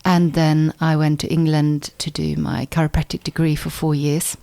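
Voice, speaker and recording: Low, gravelly-voiced woman guest. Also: completely flat delivery, moderate pace, warm tone. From Sweden. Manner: thoughtful, with frequent restarts and repeated words. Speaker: guest chiropractor. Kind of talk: conversational interview.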